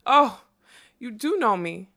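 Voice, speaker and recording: A woman voicing a gentle, lonely female unicorn in a storybook, speaking with earnest, pleading sincerity. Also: medium pitch, normal speed, close to an everyday voice. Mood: delighted. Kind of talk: acted audiobook storytelling.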